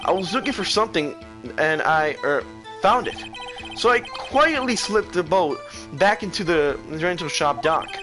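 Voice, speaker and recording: A man acts out a flustered young guy on the witness stand in a courtroom game. His voice is pushed high and swings about. He rambles and stammers defensively, with music playing behind him. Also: animated, unhurried. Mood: uneasy recounting. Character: excitable, flustered young male witness, slacker friend of the lawyer.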